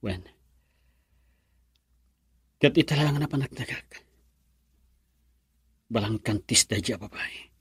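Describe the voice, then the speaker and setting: Lovesick young filipino man, really breathy husky voice, troubled and shy, radio drama, dry sound. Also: mid-range voice, animated, slow pace, long pauses, no room sound, exaggerated voice. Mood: dejected.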